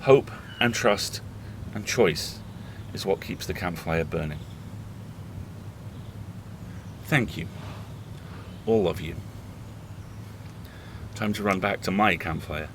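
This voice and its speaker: A man acts as a weary horror host in an audio drama, confiding in the listeners. His voice is on the low side, and he tells it with halting, deliberate earnestness. There is clear ambient noise behind him. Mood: trusting, resolute. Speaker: horror podcast host playing himself.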